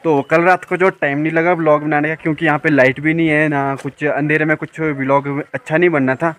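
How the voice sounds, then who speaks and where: Young guy talking to his phone on a hillside, casual and rambly, kinda high-pitched. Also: level tone, fairly quick, a bit bright. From Himachal Pradesh, India. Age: young adult. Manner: chatty, loose, with many fillers. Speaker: vlogger. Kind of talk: casual vlog talk to camera. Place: outdoors on a hillside by a lake. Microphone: phone held at arm's length.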